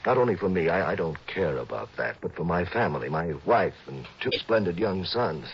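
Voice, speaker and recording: A man's voice: deep voice, big pitch swings, a little fast. An actor playing a worried, stammering wealthy man of about fifty in a 1960s radio drama. He speaks nervously and pleads. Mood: anxious. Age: 50s. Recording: dull old radio broadcast with faint hiss.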